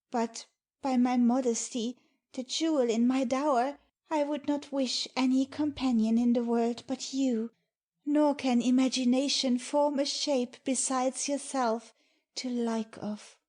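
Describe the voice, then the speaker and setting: A woman plays a tender young maiden in a Shakespeare audiobook. Her voice is high and soft-edged, mellow in tone, and she takes the verse slowly and earnestly, with innocent devotion. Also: fairly even intonation. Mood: shy, earnest. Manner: slow, earnest delivery of verse. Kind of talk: dramatic Shakespeare audiobook reading.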